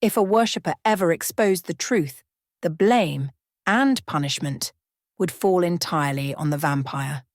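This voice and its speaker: Low-pitched woman narrating a bedtime story. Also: unhurried, no echo, clean studio sound.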